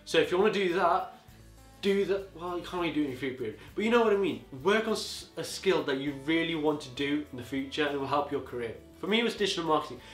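An eighteen-year-old man giving rambling, casual advice to camera from a living-room sofa, his voice going a bit hoarse. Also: fairly high pitch, animated, fast talker, fairly bright tone, echoey room, soft background music. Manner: rambling, chatty, peppered with "you know". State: voice getting hoarse. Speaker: student vlogger. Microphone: camera on a tripod across the room.

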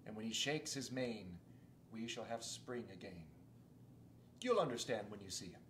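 A folksy, earnest male beaver from a storybook, talking loud and emphatic in a rustic way, as a man reading aloud puts him on. The voice rings a little in a roomy space. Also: lowish voice, lively intonation, normal speed, a little hiss or hum. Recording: roomy echo.

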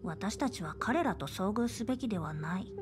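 A woman voicing an aloof, blunt young girl in an anime game, deadpan and curt. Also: medium pitch, normal speed, noisy background, lightly acted. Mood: aloof, impatient. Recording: background music.

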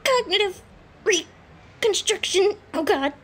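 A woman's voice: very high voice, expressive, fairly bright tone, a little hiss or hum, full character voice. A woman voicing a jittery, paranoid teenage boy in a fan comic dub, talking in a frantic, shaky rush. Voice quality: frantic, shaky, rapid worrying.

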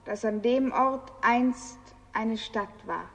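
An actress playing the mournful voice of a conquered city in a poetic radio play. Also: female voice, fairly high pitch, slow pace, creaky, a bit bright, exaggerated voice. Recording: long hall reverb.

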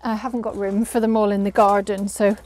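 A woman's voice: mid-range voice, level tone, a little fast, darkish tone. A woman in her thirties giving a casual walk-through tour of her home garden and greenhouse, chatty and hesitant with many fillers, recorded outdoors on a camera mic while she walks.

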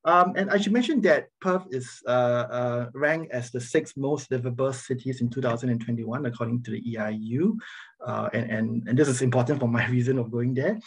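Singaporean man with a gravelly, husky voice. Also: mid-range voice, normal speed. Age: middle-aged. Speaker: university professor, guest speaker. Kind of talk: informal academic presentation to an online audience. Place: online video meeting, speaking over slides. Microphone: video call microphone.

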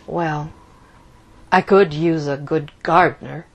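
A woman reading a storybook, voicing a calm, matter-of-fact father in a measured way. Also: low-pitched, gravelly, husky, voice pushed down, heavily acted.

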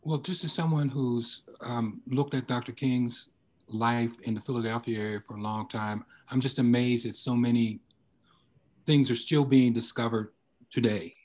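Older man, mid-pitched voice, deliberate phrasing, emphatic, explanatory panel talk, video call. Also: steady tone, darkish tone. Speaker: panelist, history researcher. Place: indoor room on a video call.

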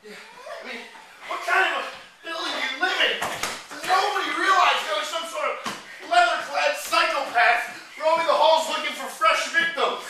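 A man's voice: very high-pitched, moderate pace, a little hiss or hum, exaggerated voice. A young man acting in a stage comedy as a nervous, sarcastic boyfriend on a date. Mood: panicked. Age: young adult. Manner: nervous, wry, excitable. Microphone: distant audience camera. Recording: echoey theater, far camera mic, audience.

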